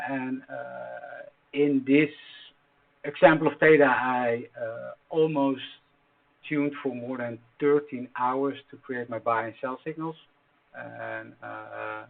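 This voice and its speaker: A man from the Netherlands with a Dutch accent, explaining a trading strategy in English, which is not his first language. He talks haltingly, with many fillers and restarts. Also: medium pitch, fairly even intonation, creaky, faint music behind. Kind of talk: screen-share tutorial. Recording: tinny phone-like audio.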